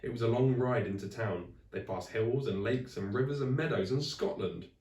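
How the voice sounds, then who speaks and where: A man reading a children's story aloud in expressive narration, like a teacher to a class. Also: mid-range voice, normal speed, recorded from a distance. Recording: echoey room, away from the mic.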